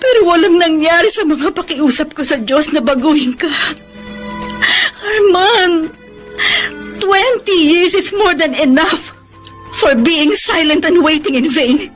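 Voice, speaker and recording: A woman's voice: very high-pitched, faint music behind. A woman with a Filipino accent playing a weary, long-suffering middle-aged wife in a radio drama, bitter and emotional, drawing out her words. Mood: tearful anguish. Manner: tearful, drawn-out emotional delivery. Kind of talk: Tagalog radio drama acting with English mixed in.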